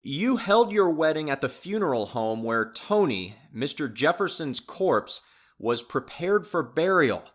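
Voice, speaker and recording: A man plays a skeptical legal official in an audio drama. His voice is slightly high with lively rises and falls. He speaks formally, weighing things up. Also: unhurried, full character voice. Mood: skeptical, puzzled. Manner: formal, deliberating.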